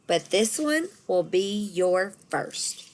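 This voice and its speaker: A woman voicing a patient but firm elementary school teacher in a storybook, measured and instructive. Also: mid-range voice, lively intonation. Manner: measured, instructive, addressing a class.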